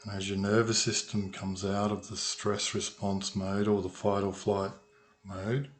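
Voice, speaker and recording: A man leading a guided meditation as a calm, unhurried instructor, leaving long pauses between phrases. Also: lowish voice. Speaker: meditation guide, sound healer. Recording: noisy recording with ringing tuning forks. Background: humming tuning forks.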